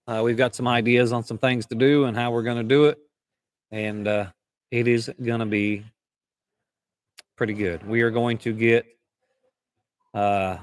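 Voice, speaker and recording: A hoarse-voiced man chatting loosely as host of a live event broadcast. His talk rambles in a folksy way, and his pitch sits mid-range. The sound is dry and close despite the big hall. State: hoarse from calling out. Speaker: livestream host. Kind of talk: casual live event commentary. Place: large indoor event hall.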